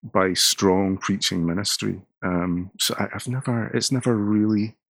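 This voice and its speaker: A middle-aged Scottish man with a very low, gravelly voice that has a husky edge. He is a pastor talking earnestly in a conversation and often doubles his words as he starts a sentence. The sound is close and dry. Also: a little slow, a bit bright, no room sound. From Scotland. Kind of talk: conversational interview.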